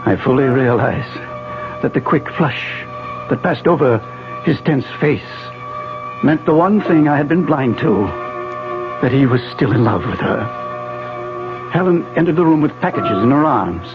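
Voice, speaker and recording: An actor playing a grave, wistful retired professor in a 1970s radio drama, speaking with formal, measured diction. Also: male voice, mid-range voice, expressive. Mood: grave, reflective. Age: older. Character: grave, wistful retired physics professor, older married man. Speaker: narrator and lead actor. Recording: dull 1970s radio recording, faint music.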